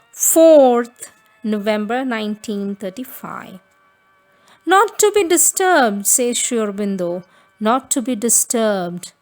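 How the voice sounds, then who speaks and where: A woman reading devotional spiritual writings aloud as scripted text, in measured, deliberate phrases with frequent pauses. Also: fairly high pitch. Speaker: reader of spiritual texts.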